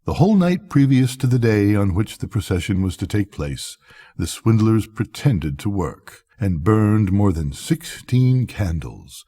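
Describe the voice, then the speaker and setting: An older man reads a bedtime story in a low, husky voice. His narration is animated, with pitch that rises and falls widely, in the manner of a grandfather telling a tale. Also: a little slow.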